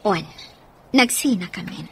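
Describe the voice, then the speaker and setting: A woman's voice: fairly low pitch, lively intonation, measured pace, breathy edge, heavily acted. A woman playing a guarded, wounded wife separated from her husband in an Ilocano radio drama.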